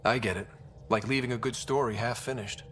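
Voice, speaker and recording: A man playing a relaxed, reassuring young human space explorer in a video game, speaking casually and kindly. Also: mid-range voice, a little fast, faint music behind, natural voice.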